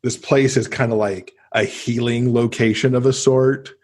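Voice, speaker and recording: A man's voice: medium pitch, fairly even intonation, moderate pace, a little mellow, slightly rough. A man, middle-aged, talking casually and enthusiastically as a guest in an interview about books. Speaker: podcast guest, avid reader.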